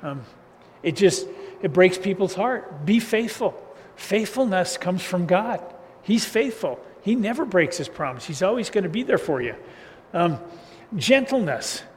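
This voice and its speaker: Loud, high-voiced man preaching in a hall. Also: big pitch swings, measured pace. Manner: loose, conversational preaching with chuckles and restarts. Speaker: pastor. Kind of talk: casual sermon. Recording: reverberant hall, faint ambient noise.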